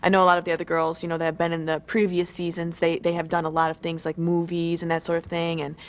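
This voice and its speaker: Chatty twenty-year-old woman, low voice. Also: completely flat delivery, fast. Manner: chatty, eager, many fillers and restarts. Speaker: model contestant. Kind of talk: pre-show interview.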